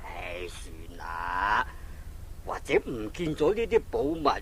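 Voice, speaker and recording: A patient old father, speaking Hong Kong Cantonese very slowly, with lively rises and falls. He consoles and sighs like a resigned moraliser in a storybook drama on faintly noisy tape. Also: on the low side, exaggerated voice. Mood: solemn advice. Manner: sighing, consoling, deliberate. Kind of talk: 1980s audio storybook drama acting. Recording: old cassette with faint noise.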